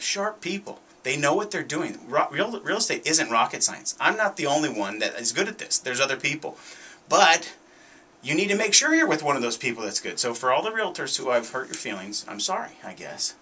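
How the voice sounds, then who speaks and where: A man's voice: on the high side, very animated, fairly quick, bright, forceful tone, husky. A man hosting a talk radio show, giving commentary in an emphatic, conversational way and often repeating his points. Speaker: radio show host, realtor.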